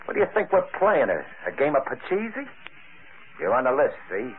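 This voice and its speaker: An actor playing a menacing racketeer in an old radio crime drama, talking in tough, slangy threats. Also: male voice, medium pitch, huge pitch swings, moderate pace. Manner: tough, slangy, threatening wise-guy drawl. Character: menacing slangy gangland racketeer, adult man. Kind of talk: 1940s radio crime acting. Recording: tinny, noisy old radio recording.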